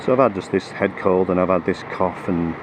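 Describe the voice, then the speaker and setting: A man riding a motorcycle with a cold-roughened, croaky voice, chatting through the route with lots of ums and restarts. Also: low voice, a little fast. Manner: hesitant, many fillers and restarts. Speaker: motorcycle vlogger. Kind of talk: rambling ride commentary. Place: on a motorcycle on the road. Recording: road and engine noise behind.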